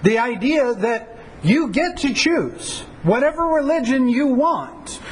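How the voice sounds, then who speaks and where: A pastor teaching church history to a class, in a conversational lecture full of rhetorical questions and fillers. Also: male voice, extremely high pitch, big pitch swings, slow pace, slightly rough. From United States.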